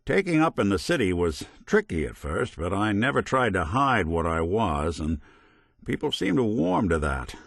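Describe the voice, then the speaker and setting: A man's voice: lowish voice, very animated, moderate pace, vocal fry, no room sound, clean studio sound, heavily acted. A male actor playing a world-weary, principled android detective in a video game, with a wry, folksy hardboiled way of talking and old-fashioned slang. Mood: wry, reminiscing. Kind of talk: video game companion dialogue, noir detective.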